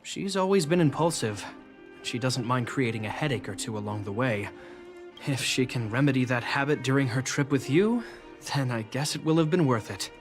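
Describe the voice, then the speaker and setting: An actor playing an earnest, protective young brother in a video game, speaking in a measured, sincere, resigned way. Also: male voice, medium pitch, animated, soft background music, close to an everyday voice. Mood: wry, resigned.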